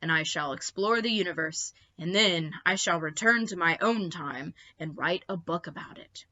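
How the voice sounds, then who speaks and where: Woman reading as a bright, grand lady dinosaur professor. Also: lowish voice, normal speed, no room sound, muffled recording. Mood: resolute. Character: wise, grand female dinosaur professor, gracious adventurer.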